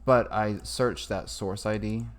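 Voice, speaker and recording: A man talking through a casual, improvised software tutorial in an informal way. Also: on the low side, steady tone, a little slow. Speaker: tutorial maker. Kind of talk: casual screen-recorded tutorial.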